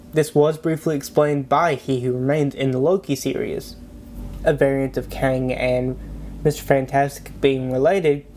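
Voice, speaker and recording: A man with a stuffy, congested cold giving a low-energy scripted breakdown narration as a video host. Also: darkish tone, slight background noise.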